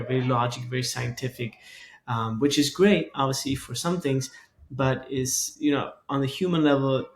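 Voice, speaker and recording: A man from Germany, hosting a casual interview in a halting, reflective way. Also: mid-range voice, a little hiss or hum. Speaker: podcast host.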